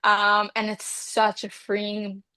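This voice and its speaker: A woman's voice: medium pitch, monotone, slow pace, bright, forceful tone, clean tone, no echo. A woman in her twenties whose first language is Hebrew, a Reiki healer speaking in an interview in an earnest, rambling way with many fillers. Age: 20s. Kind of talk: casual interview talk.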